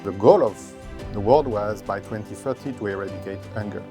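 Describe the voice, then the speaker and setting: A man's voice: fairly low pitch, fairly quick, music in the background. A man speaking as an economist, giving matter-of-fact interview remarks.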